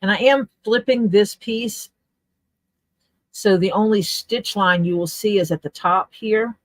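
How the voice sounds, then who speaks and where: A woman's voice: lowish voice, no echo, rough recording. A woman chatting casually on a livestream while she works on leather, explaining her tools step by step. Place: home workspace.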